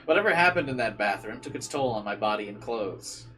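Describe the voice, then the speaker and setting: A man's voice: medium pitch, expressive, a little fast, sharp tone. Man narrating over guitar music. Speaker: streamer reading a visual novel aloud. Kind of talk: dramatic narration with casual streamer asides. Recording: music playing behind the voice. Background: guitar game music.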